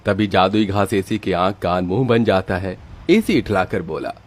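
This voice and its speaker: Low-voiced male story narrator. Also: expressive, moderate pace. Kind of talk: scripted moral story narration.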